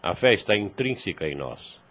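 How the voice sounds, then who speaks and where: A man's voice: low-pitched, sing-song, normal speed, a bit bright, heavily acted. An actor playing a wise, fatherly priest in a radio drama, solemn and preachy, in formal archaic phrasing. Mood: earnest, consoling.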